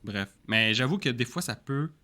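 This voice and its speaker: A man's voice: medium pitch, lively intonation, moderate pace, sharp tone. Quebec French man, co-host, easygoing and rambly in chat. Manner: loose, rambling, colloquial. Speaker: podcast co-host. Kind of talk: casual chat about books.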